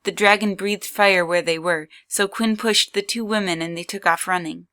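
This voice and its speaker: A woman's voice: medium pitch, fairly even intonation, moderate pace, sharp tone. A woman reading scripted third-person story narration as the narrator of an audio drama.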